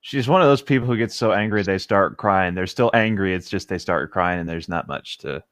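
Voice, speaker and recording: A man's voice: sits low, a little fast, no echo. A man co-hosting a podcast, chatting casually and reading passages of a novel aloud. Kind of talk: casual banter and read-aloud.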